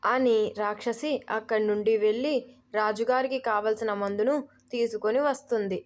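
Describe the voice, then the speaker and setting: A woman's voice: a little high, fairly even intonation, normal speed. A woman narrating a scripted story as its storyteller.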